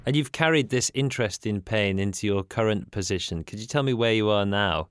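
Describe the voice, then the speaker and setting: A man narrating an introduction and asking interview questions in a measured way. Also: on the low side, clear, resonant tone, no room sound, studio quality.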